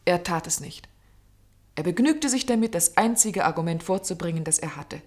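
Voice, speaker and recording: Low-voiced, determined woman, played by an actress. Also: lively intonation, normal speed, darkish tone, lightly acted. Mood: impassioned. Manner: earnest, insistent, articulate. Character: determined, idealistic woman pleading to save a condemned man. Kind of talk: German radio drama acting.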